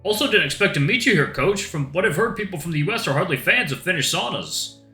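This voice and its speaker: A man putting on an upbeat young man with a lifted, sunny voice, talking freely and easily. The sound is bright and crisp. A roomy echo hangs on it, with faint guitar music underneath. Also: high voice, animated, quick pace, voice pushed up, exaggerated voice. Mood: friendly. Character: friendly young male camp-goer, upbeat and candid.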